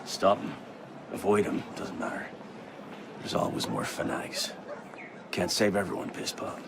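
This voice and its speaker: An actor playing a gruff, cynical veteran assassin and scornful mentor in a game, curt and world-weary. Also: male voice, deep voice, expressive, normal speed, noisy background. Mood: jaded, scornful.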